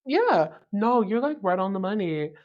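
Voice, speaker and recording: A young man with a very high tenor voice, hosting a casual podcast chat in an eager, enthusiastic way. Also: very animated, muffled recording. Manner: eager, enthusiastic, quick to agree. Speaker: podcast host.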